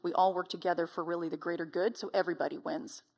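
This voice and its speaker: Low-pitched woman on a panel. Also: steady tone, fast talker. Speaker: mining company representative on a panel. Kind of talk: panel discussion, explanatory talk.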